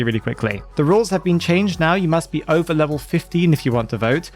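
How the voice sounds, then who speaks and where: A man hosting a casual weekly game-update vlog, sounding nasal and congested from hay fever, chatty and thinking aloud as he corrects himself. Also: medium pitch. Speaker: gaming channel host.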